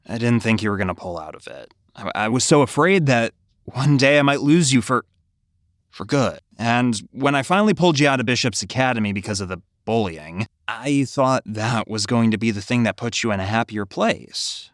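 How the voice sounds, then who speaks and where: A man playing a warm, gently teasing middle-aged father in an audio drama. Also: mid-range voice, expressive, moderate pace, no echo, clean studio sound, natural voice. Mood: earnest, worried. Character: warm, caring, teasing adoptive father of a teen.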